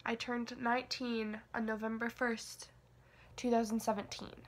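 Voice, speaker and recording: A woman's voice: fairly high pitch, little pitch movement, normal speed. A nineteen-year-old woman vlogging casually, rambling and hesitant, with lots of fillers and self-deprecating asides. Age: young adult. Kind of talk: casual confessional vlog.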